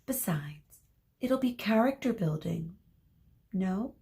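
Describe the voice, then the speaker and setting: Woman acting out a theatrical, slow, menacing schoolteacher. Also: medium pitch, expressive, gravelly, warm tone. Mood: smug. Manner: drawn-out dramatic pauses, exclaiming.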